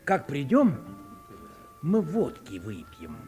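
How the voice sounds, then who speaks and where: A man's voice: on the high side, wildly swooping pitch, slow pace, faint music behind, heavily acted. An actor playing a fawning, timid minor clerk in a 1950s Russian radio play, fussy and coaxing with his companions. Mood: hungry, chatty. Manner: fussy, coaxing, hushed when cowed. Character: fawning, timid male minor clerk hosting hungry colleagues.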